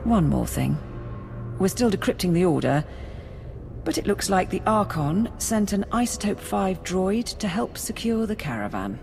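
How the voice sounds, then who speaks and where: Casting: businesslike Imperial game officer, dry wit, medium pitch, music underneath. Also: female voice, big pitch swings, moderate pace, vocal fry, a little mellow. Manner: businesslike, wry. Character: brisk, dry-witted Imperial military aide. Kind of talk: video game briefing dialogue.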